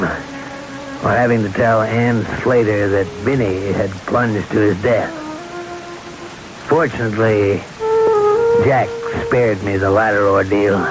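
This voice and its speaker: Somber American guide narrating old radio drama, lowish, measured, over prominent music. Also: male voice, poor audio. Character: grave male mountain guide narrating a tragedy. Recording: vintage radio broadcast under watery noise, music.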